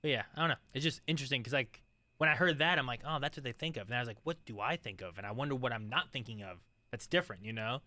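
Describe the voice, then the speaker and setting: A man with a bright, forward voice wanders through his thoughts on a podcast, curious and full of restarts and fillers. The sound is dry and close, with almost no room. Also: mid-range voice, racing, dry recording. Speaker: podcast co-host. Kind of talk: casual chat. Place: studio.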